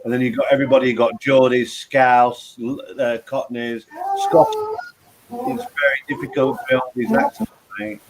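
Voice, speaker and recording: A man's voice: mid-range voice, lively intonation, normal speed, rough recording. A man with an East Midlands English accent, bantering in a friendly way on a casual live stream. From East Midlands, England. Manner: friendly, banters, calls people mate. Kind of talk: casual chat.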